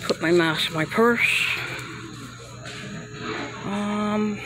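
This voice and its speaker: A woman's voice: mid-range voice, extremely slow, rough recording. A woman vlogging on a handheld phone as she walks from a parking lot into a Halloween store, rambling and hesitant with many fillers. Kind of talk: casual walk-through vlog. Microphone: handheld phone camera. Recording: loud music playing behind. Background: loud store music.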